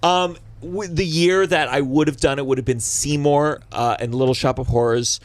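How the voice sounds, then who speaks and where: A man's voice: on the high side, normal speed, no echo. A man hosting a podcast, chatting casually as a comedian and telling stories with swearing. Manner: animated, swearing storyteller. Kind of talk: casual chat.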